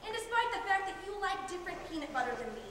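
An echoing, noisy hall recording of a stage comedy. A young woman plays a dry, exasperated wife with a very high voice, loving underneath her impatience. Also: very animated, racing, bright, forceful tone, full character voice. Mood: fed up. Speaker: stage actor. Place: theatre stage. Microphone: distant audience camera. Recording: echoey noisy theatre hall.

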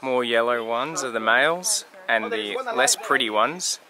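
A man's voice: medium pitch, expressive, a little slow, crowd noise. Joking man vlogging on a trip. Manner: joking, self-mocking banter. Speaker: travel vlogger. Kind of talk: casual travel vlog chat. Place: outdoors on an island and in a ship cabin. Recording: noisy outdoor camera audio.

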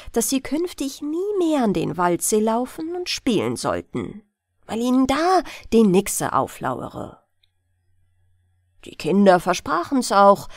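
A woman narrating a bedtime fairy-tale audiobook in an expressive storytelling style, close to the mic. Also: medium pitch, no echo.

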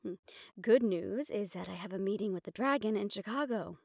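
A woman's voice: mid-range voice, creaky, dry recording. Woman acting a proud, exasperated underworld assistant, dry sound. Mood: tense, businesslike. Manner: sighing, exasperated, self-reproachful, pausing. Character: mafia boss's proud, frustrated female human personal assistant. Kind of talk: intimate roleplay audio drama.

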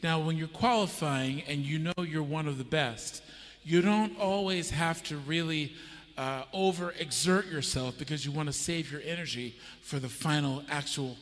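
A man's voice: on the high side, moderate pace, a bit bright. A middle-aged American man preaching a sermon on a church stage, telling a story and asking the audience questions. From United States. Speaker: pastor. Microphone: handheld microphone. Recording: church hall reverb.

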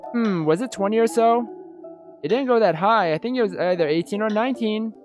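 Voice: mid-range voice, brisk, a little mellow, voice pushed up, lightly acted. Wistful young woman from a visual novel, read by a game host, music behind. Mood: wistful, casual.

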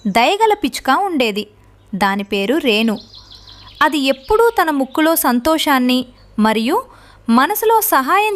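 A woman reading a scripted children's story as narrator. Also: sits high.

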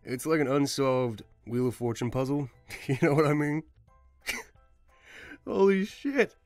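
A man's voice: mid-range voice, unhurried, a little mellow, dry recording, soft background music. A young man, an amateur voice actor, joking casually in slangy outtakes.

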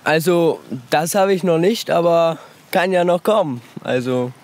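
Young man, slightly high pitch, slow pace, hesitant short casual answers. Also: a little mellow, slight background noise. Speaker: author's grandson, interview guest. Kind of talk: casual interview chat.

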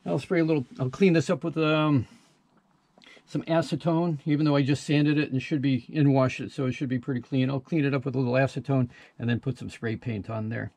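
A man's voice: mid-range voice, steady tone, fairly quick, vocal fry, a little mellow. An older man giving a casual, plain-spoken how-to narration in a matter-of-fact way. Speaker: sailor demonstrating boat engine repair.

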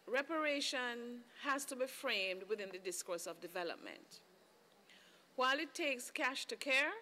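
A middle-aged woman speaks very slowly in a bright, forceful voice. She gives formal panel remarks in deliberate, emphatic phrases, with faint room noise. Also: medium pitch. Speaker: panelist, committee member. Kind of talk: formal closing statement. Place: large indoor conference room. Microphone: desk conference microphone.

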